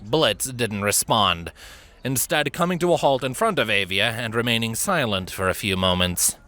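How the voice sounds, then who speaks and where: A man's voice: medium pitch, expressive, moderate pace, sharp tone. A man reading a fan fiction story aloud as a scripted narrator.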